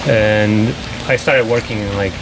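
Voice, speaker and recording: A man's voice: on the low side, steady tone, unhurried, background noise. A middle-aged man from Poland with a Polish accent, telling his story as a guest in a casual podcast chat and often repeating words while he searches for them. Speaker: guest artist.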